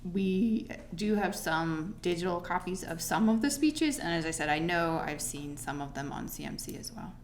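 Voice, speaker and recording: A woman's voice: on the low side, normal speed, hardly any pauses, a little hiss or hum. A woman on a town committee speaking loosely with many hesitations at a public meeting. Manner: relaxed, talkative, hesitant with frequent "um". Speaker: committee member for the town museum.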